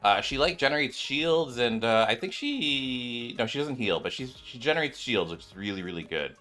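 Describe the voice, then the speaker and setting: A man hosting a gaming channel with casual, excitable commentary, often exclaiming and repeating his phrases. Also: mid-range voice, normal speed. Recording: music behind the voice.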